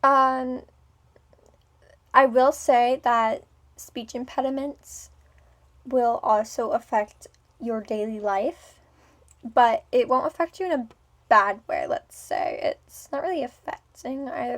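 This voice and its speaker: A young woman with a speech impediment talks into her own vlog, her voice sitting a little high. She rambles, stops and starts again, and fills the gaps with ums. Her tone moves between smooth and businesslike and something more playful. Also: a little slow. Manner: hesitant, many ums and restarts. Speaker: vlogger.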